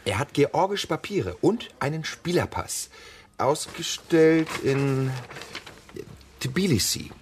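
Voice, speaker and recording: Eager, talkative detective, lively pitch. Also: male voice, slow, slight background noise. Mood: earnest, explaining. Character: eager, talkative, pedantic male police detective. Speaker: actor in radio crime drama. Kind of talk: German radio play acting.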